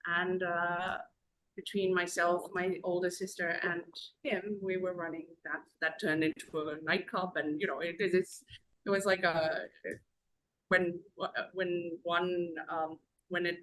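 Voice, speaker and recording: A woman from Zambia, a podcast guest, telling her story in a rambling, conversational way with many pauses over a video call. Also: lowish voice, flat delivery, fairly bright tone, rough recording.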